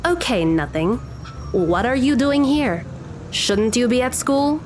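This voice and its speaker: A woman's voice: a little high, animated, slow pace. A woman voicing a weary, sarcastic teenage girl with a dry manner, in an audio drama with music underneath.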